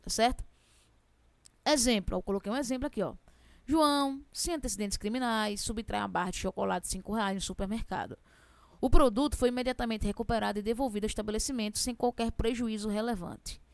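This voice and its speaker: A young woman with a strong regional accent teaching law for civil service exams, fast-talking and chatty, asking questions and answering them herself. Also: fairly high pitch, no echo. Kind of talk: exam prep lesson.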